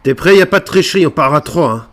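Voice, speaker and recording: A man's voice: on the high side, lively intonation, fast talker. A man improvising game roleplay and chatting casually, playfully hamming it up with slang. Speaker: gaming video creator playing online roleplay.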